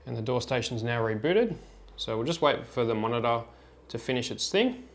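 A man with a mid-pitched voice presents a hands-on tutorial. He explains each step informally, in a plain and instructional manner. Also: animated, moderate pace. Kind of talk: informal step-by-step product demonstration.

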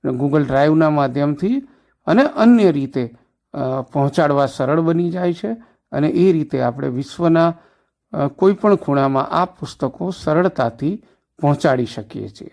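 A man's voice: a little high, animated, measured pace, vocal fry, a little mellow. A man giving an informative interview as an educator, explaining step by step and answering his own questions. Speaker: special educator, secretary of an association for the blind.

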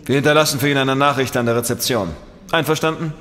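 An actor playing a weary, sceptical police inspector in an audio drama, dry and questioning. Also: male voice, medium pitch, steady tone, fast talker, a bit bright, lightly acted. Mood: weary, grumbling. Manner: dry, interrogating. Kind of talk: German audio drama dialogue. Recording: long reverb of a hall.

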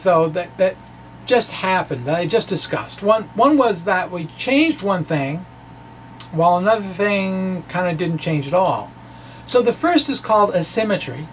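Middle-aged man lecturing informally, noisy background. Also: high voice. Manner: chatty and halting, with asides and chuckles. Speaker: science blogger presenting a physics lecture. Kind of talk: informal explanatory talk to camera. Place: small indoor room with bookshelves. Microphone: handheld-style microphone on a stand in front of him. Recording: clear background noise, room ambience.

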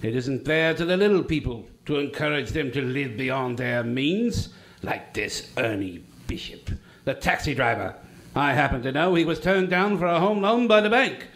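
An actor playing a greedy, scornful old banker in an amateur radio play, talking in a cold, contemptuous, domineering way. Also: male voice, on the high side, expressive, moderate pace, a bit bright.

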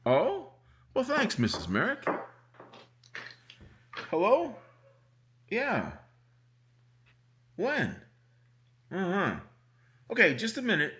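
A man's voice: high voice, huge pitch swings, very slow, pauses often, a bit bright, slight background noise, heavily acted. A man playing a folksy small-town sheriff in an old-time radio mystery recreation. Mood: businesslike. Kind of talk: 1950s-style radio drama acting.

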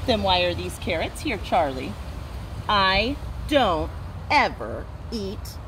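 A woman's voice: medium pitch, big pitch swings. Woman playing a fussy, emphatic little girl, outdoors. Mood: stubborn, fussy. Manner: emphatic, declarative, drawn-out refusals. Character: small, fussy, stubborn little girl, funny picky eater. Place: outdoors in a garden. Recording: outdoor ambient noise.

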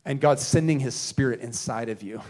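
A man in his thirties preaching a sermon on a church stage into a handheld mic, earnest, rising to emphasis and dropping to quiet, slow stresses. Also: medium pitch. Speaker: pastor.

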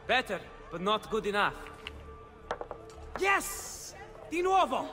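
An actor playing a cocky, cheeky young Renaissance gambler and painter's assistant in a video game. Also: male voice, high voice, big pitch swings, slow, over music, exaggerated voice. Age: young adult. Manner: cheeky, flippant, theatrical. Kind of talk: dramatic video game cutscene acting.

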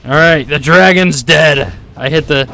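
Young man doing irreverent gameplay commentary, higher-pitched. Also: unhurried, creaky, background noise. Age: young adult. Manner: irreverent, profane, reacts aloud. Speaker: gaming YouTuber. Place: small room at home. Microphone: desktop microphone up close.

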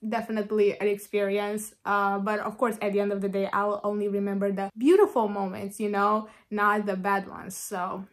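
A woman's voice: medium pitch, flat delivery, normal speed, hardly any pauses. A young woman chatting casually to her phone camera in a small room, rambling with lots of "like". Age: young adult. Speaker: vlogger.

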